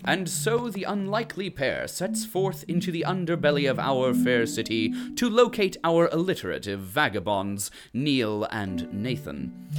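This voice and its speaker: A man narrating an audio drama in a wry, theatrical storytelling manner. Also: fairly high pitch.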